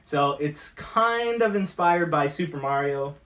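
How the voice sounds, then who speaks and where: A man's voice: fairly high pitch, lively intonation, measured pace, fairly bright tone. A chatty, enthusiastic man hosting a casual talk show, bantering as he mixes drinks. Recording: roomy, distant mic with noise and clicks.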